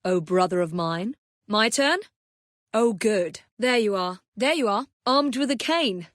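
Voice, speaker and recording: A woman voicing a confident young Victorian English assassin and gang leader in a video game, crisp, commanding and wryly polite. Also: mid-range voice, animated, measured pace, fairly bright tone, no echo, studio quality. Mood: dry, calm.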